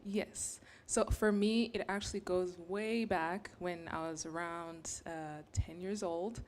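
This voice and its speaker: A young woman from Rwanda, a graduate student on a panel, telling her story in an animated, earnest way. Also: fairly low pitch, takes it slowly, slight background noise. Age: young adult.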